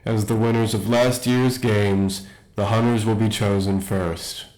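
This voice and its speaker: A man playing an academy principal who makes formal, measured announcements in an audio roleplay. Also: fairly low pitch, level tone, a little mellow, natural voice, rough recording.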